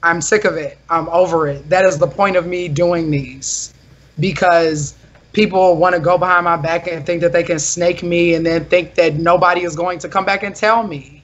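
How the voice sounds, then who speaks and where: A young woman with a deep, loud voice, arguing firmly and defensively in a casual talk show. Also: steady tone, moderate pace, highs cut off. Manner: firm, defensive, insistent. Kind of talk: heated casual argument.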